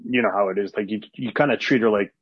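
Low-voiced man, rambling answers. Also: quick pace, husky, dry recording. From Canada. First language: Arabic. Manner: rambling, many fillers and restarts. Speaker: wrestler guest. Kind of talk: casual interview answers. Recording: dull lo-fi dry sound.

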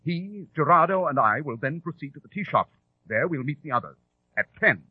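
A self-assured detective speaks briskly and precisely, never rattled. The voice is husky and lively in pitch, on a muffled, narrow vintage radio recording. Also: male voice, no echo. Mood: brisk, resolute. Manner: crisp, precise, formal. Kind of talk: old-time radio drama acting.

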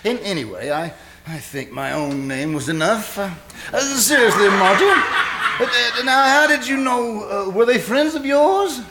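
A man performs a pompous, gushing stage actor in old-time radio drama. His voice is high and gravelly, swooping widely as he hams it up with vain self-importance. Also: lively intonation, a little slow, noisy background. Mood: self-satisfied, curious. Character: pompous, effusive, vain theatre star Ronald. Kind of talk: live stage re-creation of 1940s radio suspense.

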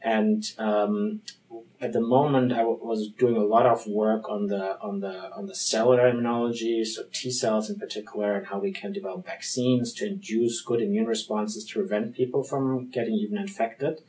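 A man with a German accent speaks as an interview guest in a lowish voice. He answers thoughtfully, often pausing with ums and restarting his sentences. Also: steady tone, dark tone, low-quality recording. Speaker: physician-researcher guest.

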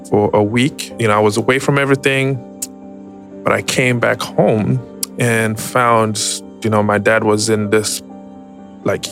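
A man gives personal testimony in a fairly low voice with a lot of fry. He speaks reflectively and hesitantly, pausing often between phrases. Faint music sits behind him. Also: fairly bright tone. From Zimbabwe. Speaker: interview guest. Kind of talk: personal testimony, conversational storytelling.

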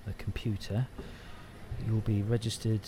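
An actor playing a patient but evasive council housing officer with a British accent and a bureaucratic, hedging manner. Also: male voice, lowish voice, little pitch movement, measured pace, noisy background, lightly acted. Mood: businesslike. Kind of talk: audio play dialogue.

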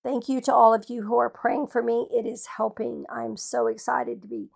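A Southern American woman hosts an informal Bible teaching show. Her voice is fairly high but very gravelly and husky. She talks in a folksy, homespun way. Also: little pitch movement, normal speed, a little mellow. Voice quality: rough, hoarse. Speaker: radio Bible teacher and host.

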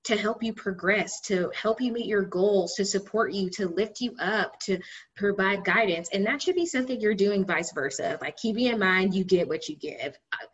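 A woman's voice: normal speed, slightly rough. A woman guest talking casually in a lilting, sing-song cadence, laughing often. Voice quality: high-pitched by her own account. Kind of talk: casual conversation. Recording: dull lo-fi sound.

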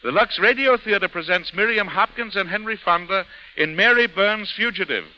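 A man's voice: sits high, moderate pace. A man presenting and narrating a scripted 1930s radio programme in a formal, theatrical way. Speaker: guest host and narrator. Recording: muffled 1930s radio broadcast.

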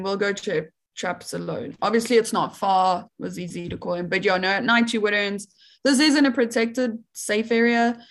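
A young woman from South Africa with a South African accent, chatting as a researcher guest in an interview, laughing often and using many fillers. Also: medium pitch. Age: young adult. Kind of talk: casual interview chat.

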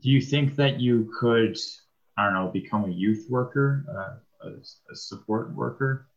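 Male interviewer, tentative question, mid pitch, lo-fi muffled recording. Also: a little slow.

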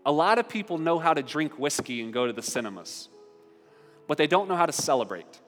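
A man's voice: fairly high pitch, big pitch swings, fairly quick, fairly bright tone, faint music behind. A man preaching a sermon as a pastor, earnest and building in force at key points. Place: church sanctuary. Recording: long reverb of a large hall.

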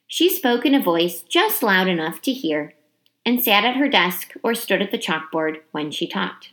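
A woman reading a children's chapter book aloud as expressive storybook narration, with dramatic emphasis on key words. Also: medium pitch, measured pace.

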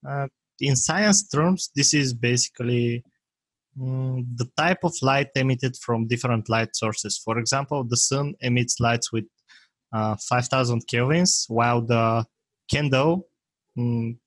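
A man with a Bulgarian accent speaks in a plain middle register, explaining things haltingly as he hunts for the right English words. The sound is close and dry, with no room around it. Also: mid-range voice, no room sound. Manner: halting, searching for words, laughs often. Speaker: guest, software maker. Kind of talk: casual interview talk.

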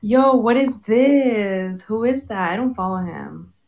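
A woman's voice: mid-range voice, animated, takes it slowly, creaky, a little mellow. Female co-host, brief puzzled quips, slight background hiss. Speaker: podcast co-host. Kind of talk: casual group banter.

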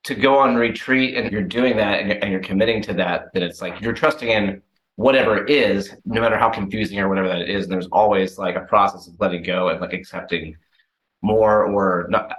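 Male participant in an echoey room, fairly low voice, casual and reflective, feeling for words. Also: fairly quick, a bit bright. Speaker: discussion participant.

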